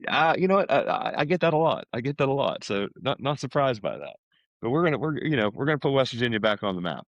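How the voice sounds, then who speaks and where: A man's voice: medium pitch, big pitch swings, quick pace, no echo, lo-fi sound. A middle-aged American man chatting casually, telling stories in a rambling way. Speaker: podcast guest, salesman. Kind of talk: casual chat.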